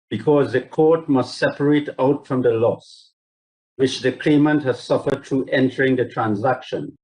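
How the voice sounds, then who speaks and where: A man speaking as a barrister in a formal, measured and courteous legal submission, reading carefully from judgments over a video link. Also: medium pitch, a little mellow, rough recording. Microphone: video call.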